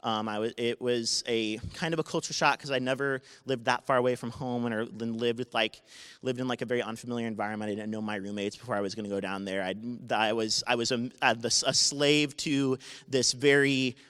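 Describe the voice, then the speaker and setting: Young man with a loud, ringing voice. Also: mid-range voice, little pitch movement, smooth. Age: 20s. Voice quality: naturally loud voice. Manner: halting, with many restarts and self-corrections. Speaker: storyteller. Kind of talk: unscripted personal story told live to an audience. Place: university event space. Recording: live talk with long hall reverb.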